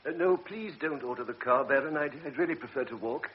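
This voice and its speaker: A man's voice: medium pitch, brisk, dull audio, slight background noise, close to an everyday voice. A man playing a blunt, cynical middle-aged doctor in a radio drama, talking in a brusque, lecturing, world-weary way.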